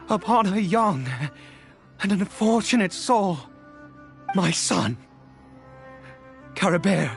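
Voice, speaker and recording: A man's voice: extremely high pitch, vocal fry, heavily acted. An actor playing a weary, grieving immortal father in a video game, with theatrical and hesitant delivery that swings between murmurs and anguished outbursts.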